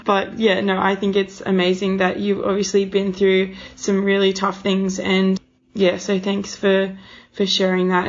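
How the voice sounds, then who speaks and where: A woman's voice: mid-range voice, dull audio. A woman hosting a casual interview, talking in an encouraging, rambling, conversational way.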